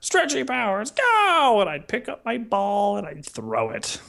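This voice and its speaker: A man's voice: high voice, huge pitch swings, a little slow, creaky, bright, forceful tone. A man co-hosting a podcast in casual, jokey chat, with a goofy, exaggerating way of talking. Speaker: podcast co-host.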